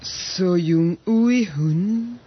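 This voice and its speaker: A man playing a calm, civilized talking horse in a radio drama, speaking in a drawn-out, deliberate way. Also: high-pitched, breathy edge, highs cut off, heavily acted. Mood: solemn, questioning. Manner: slow, drawn-out, deliberate speech.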